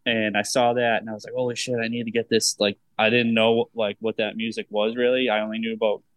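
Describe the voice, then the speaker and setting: A man's voice: on the low side, level tone, quick pace, no echo. A man in his thirties hosting a podcast in a casual, chatty style. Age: 30s. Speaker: podcast host.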